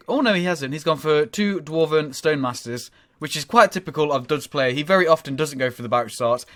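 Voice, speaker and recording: A man with a cold commentating on a strategy game in a casual, rambling way, sounding nasal and often pausing to correct himself. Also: a little high, bright, forceful tone, dry recording, studio quality. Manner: rambling, with frequent ums and self-corrections.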